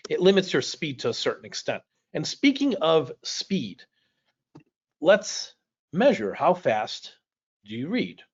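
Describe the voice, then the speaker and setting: A man's voice: a little high, huge pitch swings, takes it slowly, breathy edge. Dull-sounding male online instructor, native Polish speaker. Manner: conversational, addresses viewers directly with questions. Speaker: speed reading instructor. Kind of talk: livestream lesson, casual explaining. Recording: dull, lo-fi livestream audio.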